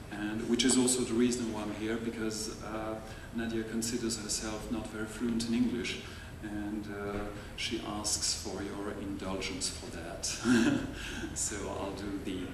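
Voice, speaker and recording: A man from Belgium calmly explaining his organization to a panel audience. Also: on the low side, unhurried. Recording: distant mic, echoey hall, faint noise.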